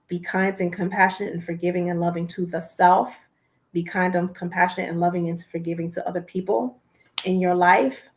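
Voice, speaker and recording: Nasal, congested-sounding woman, low voice. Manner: earnest, encouraging, repeats herself. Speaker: livestream host. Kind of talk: casual livestream talk.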